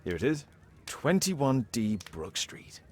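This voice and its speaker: A man playing a brisk, analytical detective in a video game, confident and commanding, with precise, clipped delivery. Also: mid-range voice, big pitch swings, slight background noise. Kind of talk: dramatic video game acting.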